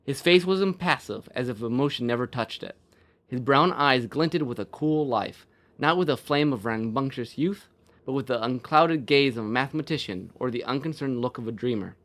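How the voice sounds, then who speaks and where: A man's voice: mid-range voice, animated, normal speed, fairly bright tone. A man reading a fantasy story aloud as its narrator.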